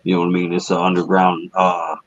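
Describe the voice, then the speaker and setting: A raspy male musician guest answering interview questions in a laid-back way, often saying "you know what I mean.". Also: low-pitched, monotone, brisk, dull audio.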